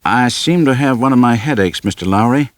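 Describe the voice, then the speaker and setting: A man's voice: medium pitch, a little fast, no room sound, pitched above the performer's own voice, lightly acted. A man takes on an anxious young woman in love from a suspense audiobook. The voice is lifted above his usual register and presses its point insistently, in a dry recording. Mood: uneasy, polite. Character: anxious, lovestruck woman of twenty-seven, pleading.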